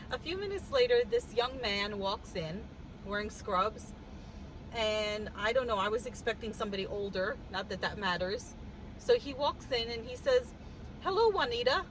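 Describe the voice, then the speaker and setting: A woman with a cough telling a story in a casual vlog, animated and wry, laughing as she goes, sitting in a car. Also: mid-range voice, background noise. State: congested with a cough. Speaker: vlogger. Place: in a car with the air conditioning on.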